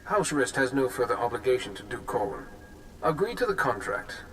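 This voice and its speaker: An actor playing a suave, haughty nobleman of an assassin house in a video game, with a pompous, measured, coolly menacing manner. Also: mid-range voice, sing-song, a bit bright, a little hiss or hum. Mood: cool, commanding. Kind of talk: scripted video game acting.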